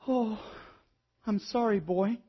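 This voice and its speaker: A man's voice: high voice, slow, slightly rough, dull audio, voice pushed up, exaggerated voice. A man voicing a loving, generous female tree, eager and motherly, in a children's storybook read-aloud. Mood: sorry, tender.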